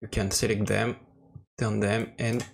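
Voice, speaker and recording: Slow, gravelly man demonstrating software. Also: fairly low pitch, little pitch movement. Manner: hesitant, searching for words. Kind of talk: casual screen-recorded tutorial narration. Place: voice-over for a screen recording.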